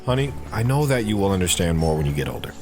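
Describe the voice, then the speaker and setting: A man's voice: sits low, sing-song, fast talker. An actor playing a protective soldier father who talks patiently and earnestly to his daughter in an audio drama. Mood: patient, serious. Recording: music behind the voice.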